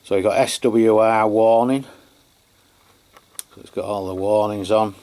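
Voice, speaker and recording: English bloke with a low voice doing a chatty gadget review, kinda halting, matter of fact. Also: male voice, fairly even intonation, mellow. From England. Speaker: radio reviewer. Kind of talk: unscripted product review and demonstration.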